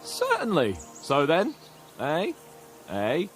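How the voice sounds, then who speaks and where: A man's voice: on the high side, takes it slowly, faint music behind, heavily acted. A bossy young nobleman. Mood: teasing. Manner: scolding, commanding, lively. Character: young proud male nobleman, bossy and touchy. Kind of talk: video game cutscene acting.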